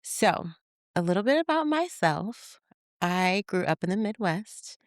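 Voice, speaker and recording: A woman from Michigan hosting a podcast, telling childhood stories in a chatty, animated way and laughing as she goes. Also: mid-range voice, a little slow, dry recording, studio quality. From Michigan, USA. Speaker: podcast host. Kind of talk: casual personal storytelling.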